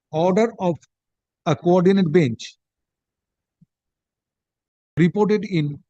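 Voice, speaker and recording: High-pitched Indian man dictating formally. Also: a little mellow, no room sound, lo-fi sound. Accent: Indian English. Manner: halting, deliberate, speaking punctuation aloud. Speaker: judge dictating an order. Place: courtroom.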